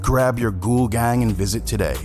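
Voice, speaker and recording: A man's voice: mid-range voice, moderate pace, music in the background. A man reading scripted promotional spots as a podcast host.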